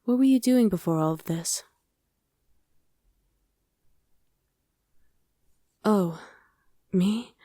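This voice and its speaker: A woman's voice: medium pitch, lively intonation, unhurried, dark tone, dry recording, studio quality. A woman playing a friendly, streetwise young survivor of a demon invasion in an ASMR roleplay, talking casually and matter-of-factly to the listener with some hesitation. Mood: casual recounting. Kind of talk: ASMR roleplay, conversational acting addressed to the listener.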